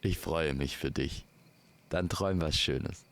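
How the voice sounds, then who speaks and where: A man's voice: very deep voice, measured pace. A man playing a doting, cheerful and tender father in a fairy-tale audio story. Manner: affectionate pet names.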